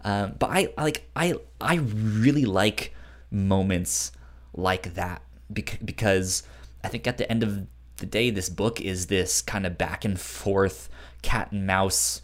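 A man's voice: fairly low pitch, takes it slowly. A man co-hosting a podcast, discussing a book casually, with a frequent stutter that repeats sounds and syllables. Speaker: podcast co-host.

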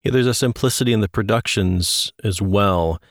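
Guy podcast host, pretty deep voice, super dry sound, just chatting and asking questions. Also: normal speed, no room sound. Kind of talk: conversational podcast hosting.

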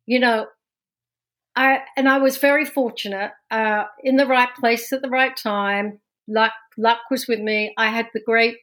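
A fairly high-voiced woman with a London accent talks through her own story as a podcast guest. She talks casually, restarting her sentences often and laughing now and then. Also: fairly bright tone. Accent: London English. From London, England. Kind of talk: casual interview talk.

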